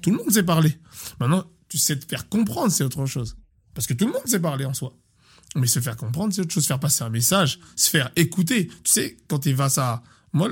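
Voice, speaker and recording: A man in his thirties, a sports coach, talking casually in an interview, animated and often repeating and restarting words. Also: on the high side, breathy edge. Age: 30s.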